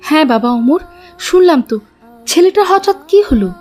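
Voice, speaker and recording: A woman plays a mother in a religious cartoon. Her voice is high. She sounds caring, concerned and reassuring. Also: expressive, moderate pace, darkish tone, soft background music. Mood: concerned, comforting. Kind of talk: Bengali Islamic cartoon dubbing.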